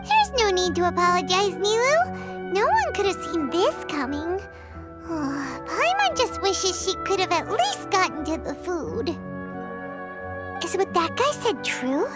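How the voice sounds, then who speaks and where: Squeaky little fairy buddy, super high and bouncy, pitch all over, game music under it. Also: female voice, very animated, a little slow, heavily acted. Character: tiny floating female fairy companion, chirpy and blunt. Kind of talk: video game voice acting. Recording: game audio with music behind.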